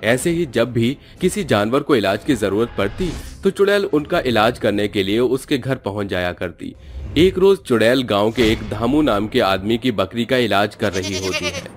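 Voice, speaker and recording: A man's voice: fairly low pitch, animated, normal speed. A man narrating a scripted cartoon story as the storyteller.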